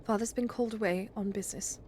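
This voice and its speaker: A woman voicing a gentle but firm, genteel mother in a storybook reading. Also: medium pitch, fairly even intonation, fast, slight background noise, lightly acted. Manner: soft, genteel, controlled.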